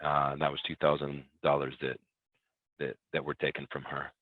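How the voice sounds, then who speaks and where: A man's voice: a bass voice, monotone, normal speed, no echo. A man taking part in an online class, talking casually over narrow phone-like call audio. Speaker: class participant. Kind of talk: casual spoken contribution to a class.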